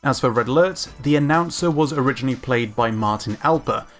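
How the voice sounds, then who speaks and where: A man giving scripted analytical commentary as a video narrator, in an informal, measured, opinionated way. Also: medium pitch. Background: background music.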